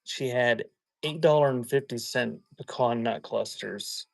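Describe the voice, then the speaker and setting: A man chatting casually in a folksy, halting way as he hosts a live sale and shows items. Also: medium pitch, vocal fry, no echo. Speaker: live auction seller hosting a stream. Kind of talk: casual rambling chat while showing items for sale.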